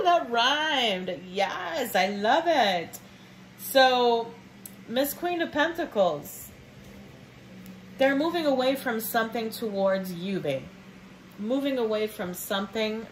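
A woman's voice: fairly high pitch, slight background noise, rough recording. A woman doing a casual tarot reading for viewers. She is a bit sniffly and talks in a chatty, affectionate way, calling listeners babe and baby nugget. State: sniffly from sinus trouble. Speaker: tarot reader. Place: indoors at a table with cards on red cloth.

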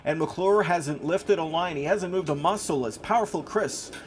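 Male race caller, fairly high voice, live harness racing commentary, punchy clipped phrasing, noisy trackside ambience. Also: moderate pace. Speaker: horse race announcer.

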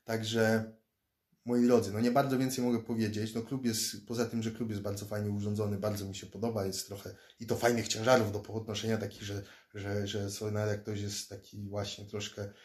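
A man's voice: on the low side, level tone, fairly quick, darkish tone. A man in his thirties from Poland, talking casually to a close-up phone camera from a small room indoors, rambling and often repeating words as he restarts. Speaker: fighter and self-defense instructor vlogger. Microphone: phone or laptop camera close up.

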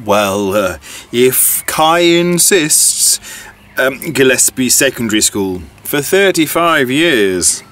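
An actor playing a shy, awkward man in his late sixties in an audio drama, hesitant and gently humorous. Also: mid-range voice, sing-song, slow. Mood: dry, hesitant. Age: older. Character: shy, awkward 68-year-old widowed retired teacher.

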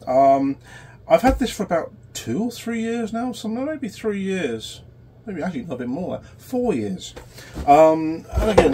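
A man in his forties with a cold and a sore throat, hosting a casual workshop tutorial in a small electronics workshop, explaining in a halting way with many fillers. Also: fairly high pitch, darkish tone, slight background noise. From United Kingdom. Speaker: electronics repair channel host.

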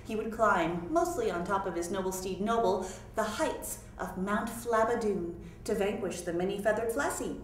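A woman reading a humorous story aloud in an expressive, theatrical manner. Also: mid-range voice. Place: library meeting room. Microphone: far camera. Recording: echoey room, far from mic, noisy.

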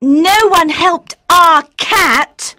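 Guy doing a squeaky little girl, super high and bright, slow and cold. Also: dry recording, clean studio sound, exaggerated voice. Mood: stern. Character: cold stubborn little girl, leader of vengeful children.

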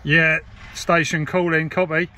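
A man's voice: a little high, little pitch movement, measured pace. A man, talking casually as he demonstrates and chats on CB radio. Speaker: vlogger and CB radio hobbyist. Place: inside a parked car. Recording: clear background noise and radio hiss. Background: CB radio static and chatter.

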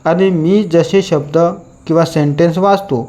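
Very slow-paced Marathi Indian male teacher. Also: a little high, steady tone, dark tone. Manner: deliberate, repetitive, instructing listeners to repeat after him. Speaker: English reading teacher. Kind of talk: instructional lesson, reading out practice sentences.

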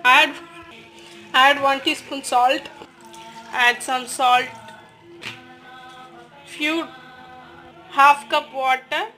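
A woman's voice: sits high, steady tone, extremely slow, pauses often, bright, forceful tone, faint music behind, rough recording. A woman, giving step-by-step recipe instructions as a voice-over in a home kitchen. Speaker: cooking channel host.

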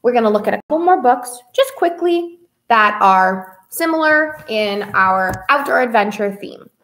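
High-voiced young woman, expressive story-time host. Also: normal speed. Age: young adult. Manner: expressive, sing-song, child-directed. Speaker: children's librarian hosting a read-aloud. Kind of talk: children's story-time presentation. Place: small indoor room with whiteboard.

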